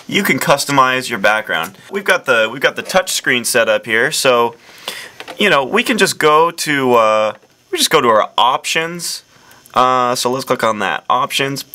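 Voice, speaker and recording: A young man earnestly presenting a parody software demo, rambling with lots of fillers. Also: medium pitch, animated, moderate pace. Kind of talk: deadpan parody product demo.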